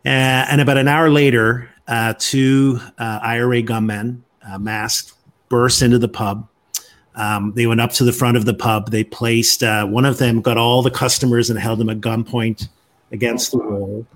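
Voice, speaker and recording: A man, a podcast guest telling his life story in a measured way with frequent pauses. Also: medium pitch, little pitch movement. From Northern Ireland. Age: 50s. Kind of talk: storytelling interview.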